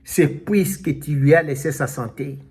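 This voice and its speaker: A man preaching a sermon in a slow, drawn-out, emphatic way, now and then calling out alleluia. His voice sits a little high for a man and has a husky edge. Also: a little mellow. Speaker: pastor.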